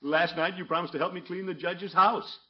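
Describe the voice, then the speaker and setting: An actor playing a pompous, scheming middle-aged small-town commissioner in 1950s radio comedy, blustering and self-important. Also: male voice, sits high, fairly even intonation, fairly quick, husky. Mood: indignant. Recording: muffled vintage 1950s radio recording.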